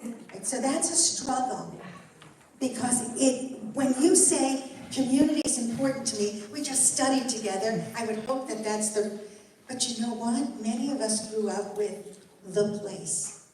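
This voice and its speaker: A woman's voice: on the high side, a little slow, dark tone. An older woman teaches as a guest rabbi in an earnest, encouraging way, speaking straight to the group. Her voice is recorded from a distance in a very echoey hall, with a faint hiss underneath. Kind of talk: Torah study teaching with Q&A. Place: synagogue hall. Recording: echoey room, mic far off, faint noise.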